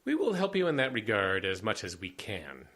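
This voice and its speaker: Swooping, husky, wary questioner. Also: male voice, lowish voice, huge pitch swings, moderate pace, a bit bright, heavily acted. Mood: wary, probing. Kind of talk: audio drama acting.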